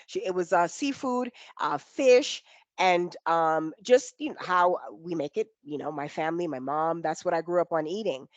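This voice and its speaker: A woman hosting a casual interview, chatting in an animated way, often restarting her sentences and teasing. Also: on the low side, normal speed, no room sound. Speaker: interview host.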